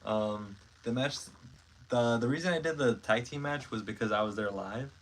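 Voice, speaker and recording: A man's voice: mid-range voice, normal speed. A man in his twenties hosting a casual livestream, rambling with lots of fillers and self-corrections while chatting with viewers. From Mexico. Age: 20s. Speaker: stop-motion creator hosting a livestream. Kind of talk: casual livestream chat, reading comments.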